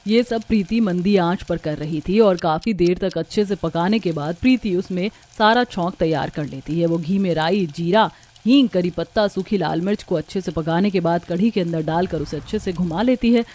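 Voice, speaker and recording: A woman's voice: lowish voice, normal speed, mellow. A woman narrating a scripted cartoon story.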